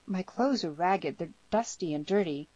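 A woman's voice: fairly low pitch, animated, normal speed, warm tone, pitched above the performer's own voice. A woman voicing a bold, spirited young ranch girl in a western story, speaking emphatically. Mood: urging. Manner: emphatic, exclaiming. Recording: dull, roomy sound.

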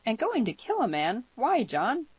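Woman playing spirited young woman, forthright, emphatic, high lifted voice, audiobook fiction, slightly muffled. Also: sing-song, normal speed, no room sound. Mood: shocked. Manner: emphatic, exclamatory, questioning.

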